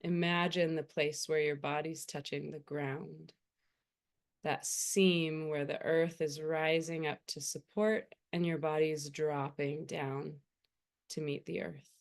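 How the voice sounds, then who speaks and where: A woman meditation teacher speaks in a low, smooth, resonant voice. Her delivery is very slow and gentle, leaving unhurried pauses between phrases as she soothingly guides the listener. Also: level tone.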